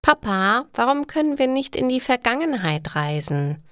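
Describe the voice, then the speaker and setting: An eager, dreamy girl of nine played by a woman reader in a storybook. The voice is lifted high and asks things with wonder, in lively, unhurried phrases. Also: clear, resonant tone, no room sound, clean studio sound. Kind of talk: storybook reading for learners.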